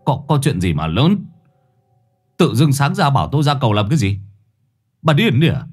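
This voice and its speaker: A man's voice: mid-range voice, very animated, normal speed, breathy edge, soft background music, voice pushed up. A man voicing a shaken older village father who stammers in shock. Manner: stammering, flustered.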